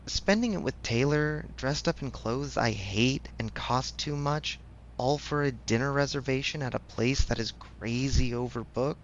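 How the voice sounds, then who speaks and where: A man's voice: mid-range voice, vocal fry, lo-fi sound, a little hiss or hum. A man playing a supportive best friend in a casual audio roleplay, speaking frankly with affectionate teasing. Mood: candid, reflective. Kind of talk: ASMR audio roleplay, conversational.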